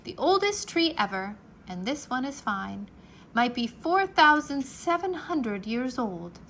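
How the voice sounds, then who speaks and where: Bright, animated woman reading to children. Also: high-pitched, slight background noise. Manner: expressive, deliberate enunciation for young listeners. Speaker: teacher reading a children's book aloud. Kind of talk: children's read-aloud narration, rhyming nonfiction.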